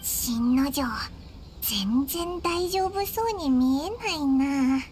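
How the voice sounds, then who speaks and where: A woman voicing a small, cheeky, excitable childlike fairy companion in a Japanese anime game, speaking in a blunt, boyish way. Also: high voice, slow, a little hiss or hum. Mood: concerned. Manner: boyish, blunt, exclamatory speech. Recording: studio voice over game music. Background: game music.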